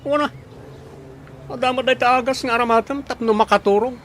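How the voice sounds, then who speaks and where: A shady, conspiring henchman in an Ilocano radio drama. The voice is strikingly high for a male and has an Ilocano accent, with faint noise around it. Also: steady tone, fairly quick, fairly bright tone. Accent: Filipino, Ilocano. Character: shady high-voiced accomplice arranging a child abduction.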